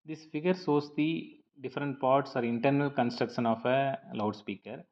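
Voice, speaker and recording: A dull, lo-fi recording carries a man's mid-pitched voice. He reads a prepared lecture as a teacher would, explaining point by point in a measured, slightly halting manner. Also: steady tone, a little mellow. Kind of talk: scripted lecture narration over slides.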